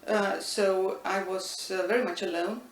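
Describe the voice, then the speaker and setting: A middle-aged woman, thoughtfully answering interview questions with many pauses and restarts. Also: mid-range voice, steady tone. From Estonia. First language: Estonian. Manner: thoughtful, frequent hesitations and restarts. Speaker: interviewee, linguistics professor. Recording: echoey room, distant mic, faint noise.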